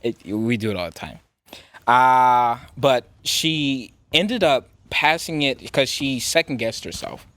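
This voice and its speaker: A man's voice: mid-range voice, lively intonation, takes it slowly, fairly bright tone, dry recording. A man in his twenties, a podcast co-host, telling a long story in loose, rambling casual chat with slang. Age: 20s.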